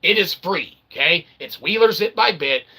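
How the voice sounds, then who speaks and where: A man's voice: high voice, animated, normal speed, creaky, pushed and bright, slightly rough. A man hosting a rambling, tongue-in-cheek monologue to his viewers, promoting his own work and often checking in with "okay". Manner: tongue-in-cheek, self-promoting, repeatedly tags phrases with "okay". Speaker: independent philosopher channel host.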